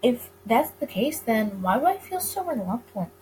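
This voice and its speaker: Higher-voiced woman reading a story, noisy background. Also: sing-song, normal speed. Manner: stumbles over words and rereads lines. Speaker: gamer reading a visual novel aloud. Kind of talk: let's play narration, reading story text aloud. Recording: clear background noise.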